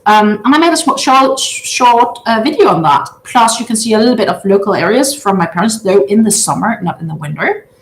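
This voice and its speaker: A woman in her thirties from Denmark with a Danish accent, a native Danish speaker, hosting a casual livestream from her big living room and chatting with viewers in a halting way with lots of filler sounds. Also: mid-range voice, animated, slight background noise. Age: 30s. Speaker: livestream host. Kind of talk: casual livestream chat, reading out viewer comments. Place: large open-plan living room at home. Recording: roomy echo.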